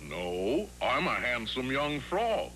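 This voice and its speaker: A man voicing a stubborn, put-upon young frog in an animated fairy tale, wry and complaining. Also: mid-range voice, takes it slowly, a little hiss or hum. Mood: indignant.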